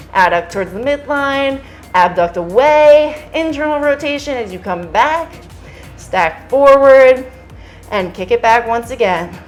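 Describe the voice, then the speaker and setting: Woman, fitness instructor, slightly hoarse, higher voice, calm exercise cues, faint music behind. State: hoarse from singing at a concert. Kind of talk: guided workout cueing.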